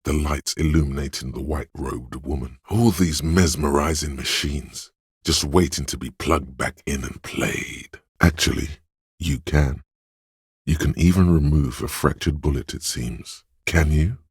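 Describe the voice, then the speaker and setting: A man voicing a detective's sly inner sense of touch as scripted game narration, gravelly and husky, measured and insinuating. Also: rumbling low, no echo, studio quality, full character voice. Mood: sly, intrigued.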